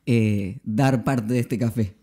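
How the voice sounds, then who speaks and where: Mellow Argentine man chatting on a podcast. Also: medium pitch, steady tone, slow pace. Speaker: podcast host, pastor. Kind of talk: casual podcast conversation. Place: small indoor room. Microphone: podcast microphone on arm, close.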